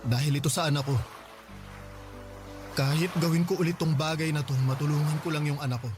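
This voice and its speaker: An actor playing a humble, polite young father in a radio drama, soft-spoken and respectful. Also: fairly high pitch, steady tone, fairly quick, music in the background, natural voice. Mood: earnest.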